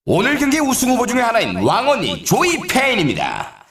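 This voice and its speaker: A showy snowboard race announcer calls the competition with eager, theatrical drama. His voice sits high and moves animatedly up and down. It rings with roomy echo, like scripted arcade game commentary. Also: wildly swooping pitch, brisk, fairly bright tone, full character voice. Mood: excited, suspenseful. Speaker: sports announcer. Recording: roomy echo, distant from mic.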